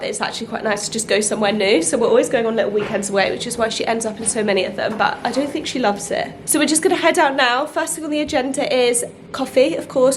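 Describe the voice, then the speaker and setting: A woman with a stuffy, congested voice from a cold, chatting casually as she vlogs her city trip outdoors. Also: on the high side. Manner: chatty, with filler words and laughs. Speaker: travel vlogger. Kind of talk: casual travel vlog chat. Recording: outdoor street noise.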